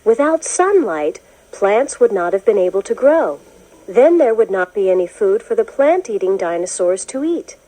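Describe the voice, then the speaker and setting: A woman narrating a children's educational book, reading in a clear, measured, explanatory way as if to young listeners. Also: medium pitch, big pitch swings, mellow, poor audio. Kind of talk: scripted storybook narration.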